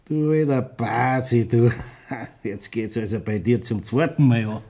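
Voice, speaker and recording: Husky, teasing Bavarian man. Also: mid-range voice, measured pace, dark tone. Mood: teasing, amused. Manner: wry, teasing, colloquial. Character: wry, teasing middle-aged Bavarian drinking companion. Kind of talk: dialect radio drama acting.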